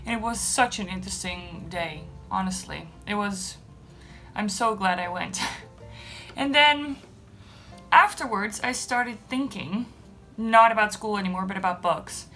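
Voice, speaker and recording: A woman's voice: on the high side, fairly bright tone. A young adult woman, a native Dutch speaker from the Netherlands, chatting casually and enthusiastically as a book vlogger. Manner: enthusiastic, gushing about things enjoyed. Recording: echoey room, faint background noise.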